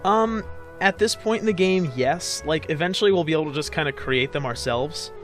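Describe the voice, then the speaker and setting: A young man hosts a casual let's play. His voice is a little higher than usual for a man, and he explains as he goes. Soft game music plays behind him. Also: a little fast. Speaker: gamer hosting a let's play.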